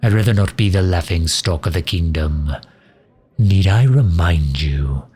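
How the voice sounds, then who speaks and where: A man playing a sardonic, loyal fantasy mage advisor in an intimate ASMR roleplay, dry and grumbling, with a husky, breathy delivery. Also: low voice, animated, unhurried. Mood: incredulous, flustered. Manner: dry, grumbling, sharp-tongued, with hesitant breaks.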